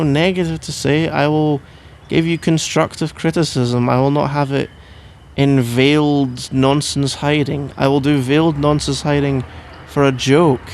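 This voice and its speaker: A man playing a sleepy, grumbling, playful husband in an ASMR roleplay, rambling and bantering with mock indignation. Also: fairly high pitch. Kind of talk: ASMR roleplay, improvised-sounding one-sided conversation.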